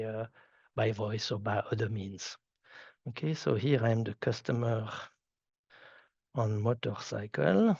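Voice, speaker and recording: A man whose first language is French, speaking with a Swiss French accent as he gives a live software demo and often restarts and repeats words. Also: medium pitch, no echo, highs cut off. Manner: halting, repeats words while clicking through. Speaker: solution architect giving a software demo. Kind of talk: live product demo walkthrough.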